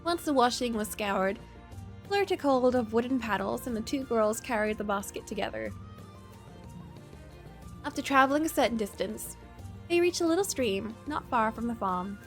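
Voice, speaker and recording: High-voiced woman chatting and narrating over music. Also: lively intonation, moderate pace, pauses often. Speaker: livestream host reading a visual novel aloud. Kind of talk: casual chat and read-aloud story narration. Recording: background music.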